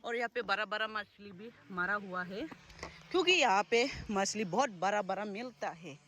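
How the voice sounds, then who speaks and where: A young woman vlogging casually outdoors in the countryside, chatty and excitable, talking into a handheld phone. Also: mid-range voice, moderate pace. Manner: chatty, excitable, switches between loud exclamations and quiet asides. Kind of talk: casual vlog narration while walking outdoors. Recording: faint outdoor background noise.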